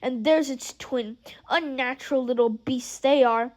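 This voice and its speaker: A woman reading aloud and voicing a spiteful old male house elf with a hoarse, deep, croaking mutter. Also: unhurried. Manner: resentful muttering under the breath.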